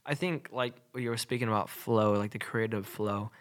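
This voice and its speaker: A man's voice: on the low side, normal speed. Man, young adult, podcast co-host, stuffy nasal voice, rambling casual chat, lots of fillers. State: slightly nasal from a cold.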